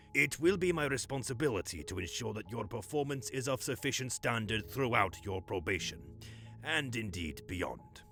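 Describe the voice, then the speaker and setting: A man's voice: medium pitch, expressive, normal speed, vocal fry, sharp tone, soft background music. An actor playing a stern, officious male overseer with a clipped, imperious, coolly menacing manner in an audio drama. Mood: cold, stern.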